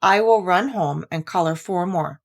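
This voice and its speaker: A woman reader plays a timid little storybook Easter bunny. He speaks in a quavery, gentle way, polite and earnest with everyone he addresses. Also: on the low side, moderate pace.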